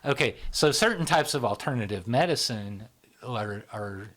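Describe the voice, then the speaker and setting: A man's voice: medium pitch, lively intonation, moderate pace, fairly bright tone. A man, the founder of a psychology channel, explaining ideas in casual conversation with many fillers and tag questions, often trailing into a near whisper.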